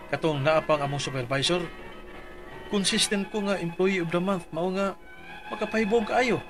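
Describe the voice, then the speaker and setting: A man reading out his dramatized complaint letter for a radio advice show, earnest and pleading. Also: on the high side.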